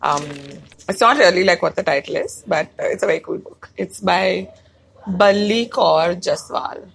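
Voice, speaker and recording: A woman's voice: fairly low pitch, normal speed, vocal fry, slight background noise, rough recording. A young woman with a Bangalore Indian English accent, a stand-up comic chatting casually and rambling as she slips between English and Hindi mid-sentence. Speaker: stand-up comic and comedy writer. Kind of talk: casual chat.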